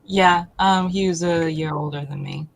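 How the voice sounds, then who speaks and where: A woman, answering casually and haltingly as a talk show guest. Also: fairly low pitch, fairly even intonation, gravelly. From Poland. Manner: hesitant, halting.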